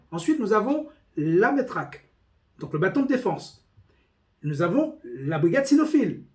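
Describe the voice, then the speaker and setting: A man speaking as a police union representative, giving a formal, deliberate, emphatic report on a meeting. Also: a little high, darkish tone. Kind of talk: spoken meeting report with quoted documents.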